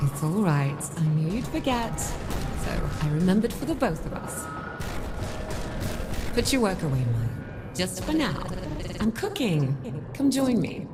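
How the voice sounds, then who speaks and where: Soft spoken loving woman in a game story, bit weary, poetic, music behind. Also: medium pitch, very animated, a little slow, long pauses. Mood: affectionate. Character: gentle, patient, poetic woman, weary but loving partner. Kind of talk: intimate dramatic game dialogue.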